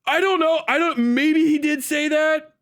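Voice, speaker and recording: A man's voice: very high voice, steady tone, normal speed, a bit bright. A man hosting a livestream, reading aloud and riffing in a casual, incredulous, foul-mouthed way. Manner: acts out mocking imagined dialogue. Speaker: livestream host and commentator.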